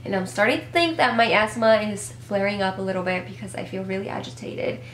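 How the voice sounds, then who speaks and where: A woman's voice: medium pitch, expressive, fairly quick. A young woman in her twenties reviews products in a loose, upbeat ramble, correcting herself as she goes. Her voice is a little congested from a cold. She is recorded in a small, echoey home room with a faint hum underneath. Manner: chatty, upbeat, rambling with fillers and self-corrections. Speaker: beauty vlogger. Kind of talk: casual product review to camera.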